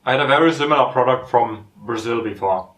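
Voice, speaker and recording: A man's voice: mid-range voice, a little fast, far from the mic. A young man with a German accent talks through the snacks he is tasting in an easygoing, reflective way. His medium voice sits a bit back from the mic. The room adds a noticeable echo. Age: young adult. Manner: relaxed, reflective, gives frank ratings. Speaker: host. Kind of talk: casual food tasting chat.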